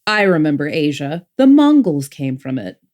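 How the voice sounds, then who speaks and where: A woman's voice: sits low, very animated, moderate pace, a little mellow. A woman playing a haughty, easily horrified passenger of a future world in an audiobook drama, with an imperious, disdainful manner. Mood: curt, lofty. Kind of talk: audiobook full-cast drama acting.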